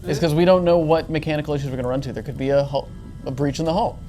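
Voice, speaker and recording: A man's voice: on the high side, steady tone, very fast, dark tone. A man playing a tabletop role-playing game, arguing his case persuasively in casual group discussion. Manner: reasoning, persuasive. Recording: background music under the voice.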